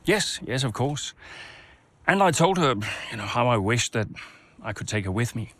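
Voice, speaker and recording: An actor playing a calm, seasoned male race team mentor, talking in a measured, reflective way in a game cutscene interview. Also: medium pitch. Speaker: new team principal.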